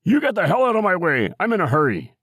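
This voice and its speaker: Cantankerous old wheelchair user, acted by a male narrator: brusque, shouting, impatient, pitched up. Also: wildly swooping pitch, moderate pace, slightly rough, no room sound, clean studio sound, heavily acted. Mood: angry.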